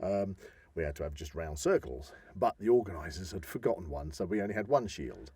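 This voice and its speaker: A man's voice: low voice, lively intonation, a little fast, warm tone, husky, no room sound. A British man co-hosting a podcast in casual, jokey, rambling chat, recorded close up in a studio. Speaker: podcast co-host. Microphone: close studio microphone.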